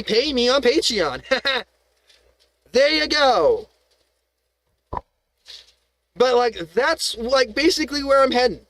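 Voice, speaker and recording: A man's voice: extremely high pitch, fairly bright tone, dry recording. A man hosting a live stream, ranting in a heated, profane, rambling way, full of restarts and rhetorical questions.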